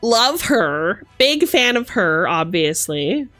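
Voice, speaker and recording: A woman's voice: mid-range voice, very animated, takes it slowly, bright, forceful tone, no echo, soft background music. A woman doing casual livestream commentary as host, playful and theatrical, mixing gushing exclamations with dry asides. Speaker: streamer hosting a live book look-through.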